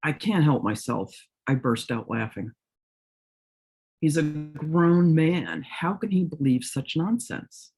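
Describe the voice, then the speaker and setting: Slow, mellow-voiced man reading poetry. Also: fairly high pitch, lively intonation, husky. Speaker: poet.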